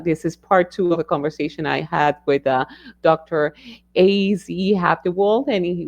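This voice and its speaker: A woman's voice: lowish voice, a little mellow, muffled recording. A woman hosting a live podcast interview, talking in a loose conversational way with many fillers. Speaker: podcast host.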